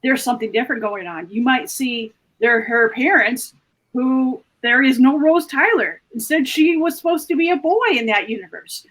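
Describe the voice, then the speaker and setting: A woman with a somewhat high-pitched voice speaks as a fan panelist. She explains things informally and step by step, as recommendations to listeners. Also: normal speed, fairly bright tone, poor audio.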